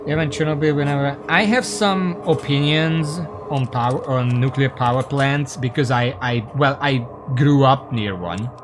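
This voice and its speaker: A man's voice: mid-range voice, moderate pace, few pauses, noisy background. A man from Hungary, giving casual, rambling, sweary live commentary as he streams a game and chats with viewers.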